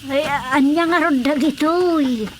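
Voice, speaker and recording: A very high-pitched, excitable mother acted by a woman frets and exclaims her way through a radio comedy. She stammers, flustered, with clear noise behind her. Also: normal speed, lightly acted. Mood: fretful. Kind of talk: radio comedy drama acting.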